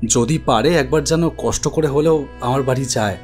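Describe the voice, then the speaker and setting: An actor playing an anxious idol-maker who recounts a horror story in a dramatic, expressive first-person narration. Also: male voice, mid-range voice, moderate pace, lightly acted. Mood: worried. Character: anxious male idol-maker recounting his ghostly encounter. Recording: studio voice over music bed.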